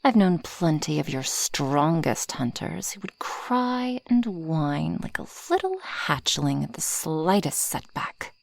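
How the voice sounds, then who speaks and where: A woman voicing a possessive, proud female dragon in an ASMR roleplay, with formal, haughty phrasing and a breathy, husky voice that often drops toward a whisper. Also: low voice, big pitch swings, slow pace, few pauses, dry recording, exaggerated voice. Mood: haughty, teasing. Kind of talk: ASMR roleplay addressed to the listener.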